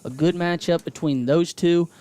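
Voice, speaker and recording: A play-by-play man with a slightly higher voice runs through the scores for local radio. He chats easily and keeps agreeing with his partner. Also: mellow. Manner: agreeable, quick to chime in, conversational. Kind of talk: high school football radio commentary. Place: press box at a high school stadium.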